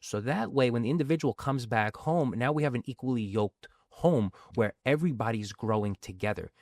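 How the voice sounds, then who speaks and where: A man's voice: mid-range voice, fairly quick, darkish tone, no echo, clean studio sound. A man from a Sicilian family, Sicilian his first language, talking earnestly about recovery in a casual conversation and often tagging phrases with "right?". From grew up in a Sicilian family, bilingual. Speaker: guest speaking on addiction recovery.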